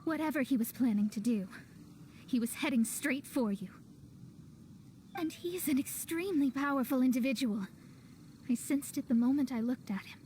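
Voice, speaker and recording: A woman plays a proud young game astrologer who counts herself a genius. The voice is high and girlish, delivered with theatrical self-assurance. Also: normal speed, long pauses, warm tone, a little hiss or hum, natural voice. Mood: serious, proud. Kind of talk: video game voice acting.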